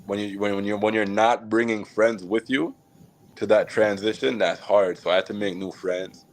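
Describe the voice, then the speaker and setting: A man from Jamaica, a podcast guest, telling his life story in a relaxed, reflective way with many fillers and small laughs. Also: lowish voice, moderate pace, a little hiss or hum, rough recording. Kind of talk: casual storytelling interview.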